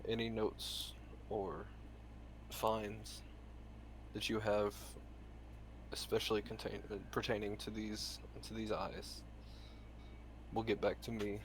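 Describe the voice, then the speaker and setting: A man playing a reformed villain ninja mentor in a tabletop game, speaking in a deliberate, earnest, halting way. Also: lowish voice. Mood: grave, instructing. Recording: noticeable background room noise.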